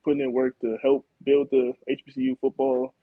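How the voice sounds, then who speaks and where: A young man from Florida talks about himself in a laid-back way, full of "like" and "man". His voice sits at a middle pitch. The recording is a bit dull and dry. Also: fairly quick, no room sound. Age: young adult. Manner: relaxed, laid-back, many fillers like "like" and "man". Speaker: college football player guest. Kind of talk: casual interview answers.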